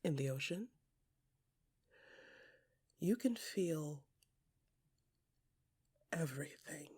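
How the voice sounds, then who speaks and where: Husky, hushed woman storyteller. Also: sits low. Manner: hushed, often dropping to a whisper, with deliberate pauses; expressive, swinging from soft musing to emphatic bursts. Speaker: narrator and performer of an audio fiction podcast. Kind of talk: intimate second-person dramatic storytelling.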